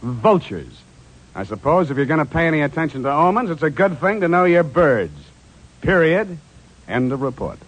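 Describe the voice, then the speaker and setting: Wry hard-boiled detective, lively pitch. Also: male voice, moderate pace, poor audio. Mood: wry, narrating. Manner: deadpan, sardonic. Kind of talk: 1940s radio detective narration.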